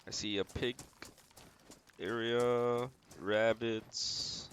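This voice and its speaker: A man's voice: mid-range voice, little pitch movement, extremely slow, a bit bright, a little hiss or hum. A man streaming a video game, thinking aloud in a casual, laid-back, slangy way.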